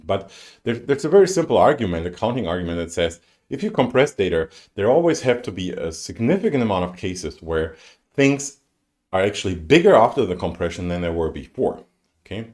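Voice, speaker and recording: German-accented male lecturer, very animated pitch. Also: fairly quick. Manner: informal, thinking aloud with asides. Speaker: university lecturer. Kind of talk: explanatory lecture to students. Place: indoors, live-streamed lecture over slides.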